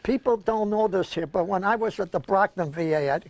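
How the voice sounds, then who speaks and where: A man's voice: high-pitched, fairly quick. An older man with a Brooklyn accent, co-hosting a senior news show and reminiscing in a rambling, anecdotal way. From Brooklyn, New York, USA. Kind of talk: casual reminiscing chat.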